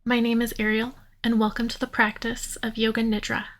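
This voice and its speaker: A woman leading a guided yoga nidra meditation in a soothing, unhurried manner, leaving long pauses. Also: fairly high pitch, monotone.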